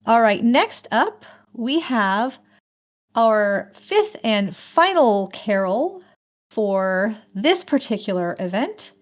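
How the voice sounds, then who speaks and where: A woman's voice: on the high side, sing-song, takes it slowly. A woman hosting a fan event, announcing casually in a chatty way and laughing often. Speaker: host of an online fan event.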